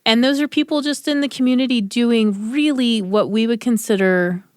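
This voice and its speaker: A woman's voice: a little high, normal speed, dry recording, studio quality. A woman speaking casually and reflectively as a panelist in a radio discussion. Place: radio studio.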